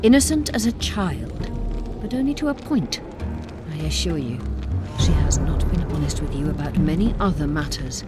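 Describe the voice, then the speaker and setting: An actress playing a proud, imprisoned sorceress who pleads urgently for release while keeping a haughty edge. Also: female voice, deep voice, animated, moderate pace. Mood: urgent, pleading. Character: proud imprisoned sorceress, middle-aged woman. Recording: game audio with orchestral music.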